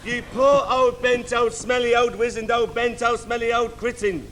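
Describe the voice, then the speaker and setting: A man's voice: very high-pitched, level tone, moderate pace, fairly bright tone, a little hiss or hum. A man playing a cheeky, camp young princess in an adult pantomime, saucily answering back on a theatre stage. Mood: cheekily insulting.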